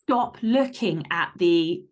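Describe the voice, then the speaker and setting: A woman's voice: fairly low pitch, lively intonation, slow pace. A woman podcast guest giving conversational advice in a blunt, emphatic, sweary way.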